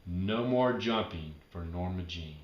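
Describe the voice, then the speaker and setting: A man's voice: sits low, unhurried, roomy sound, dull audio, slight background noise, pitched below the performer's own voice. A man voicing an exuberant, childlike little girl in a children's storybook. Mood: glum, resolute. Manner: excited, exclamatory, childlike. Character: exuberant, bouncy little girl who loves jumping.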